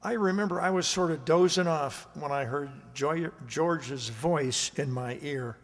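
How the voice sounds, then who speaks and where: An actor playing a timid, amiable night security guard in a radio drama, hesitant and folksy, stammering at times. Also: male voice, on the high side, a little slow, in a large hall. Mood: reminiscing. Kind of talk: radio drama acting and narration.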